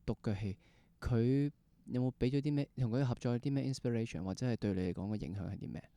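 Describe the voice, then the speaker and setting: A man's voice: medium pitch, fast talker, warm tone, dry recording. A man with a Hong Kong Cantonese accent, asking questions casually as a podcast interviewer.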